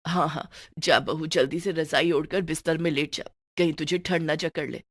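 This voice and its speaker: Woman voicing a doting, lower-pitched elderly mother-in-law. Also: steady tone, moderate pace, dry recording, clean studio sound. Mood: concerned, doting. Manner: fussing, soothing, affectionate.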